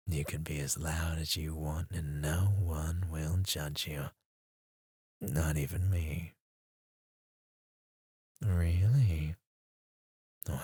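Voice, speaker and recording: A man voicing a teasing, menacing male captor who interrogates a prisoner in an ASMR roleplay, in a slow, intimate voice with soft chuckles and whispers on a close mic. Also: sits low, breathy edge, no room sound, full character voice.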